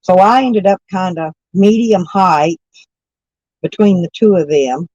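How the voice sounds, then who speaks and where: Hoarse, stuffy-nosed woman chatting casually. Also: fairly low pitch, a little slow, darkish tone, dry recording, highs cut off. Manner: rambling, folksy, self-correcting. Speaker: vlogger chatting with live viewers. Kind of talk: casual morning chat. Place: inside a camper van.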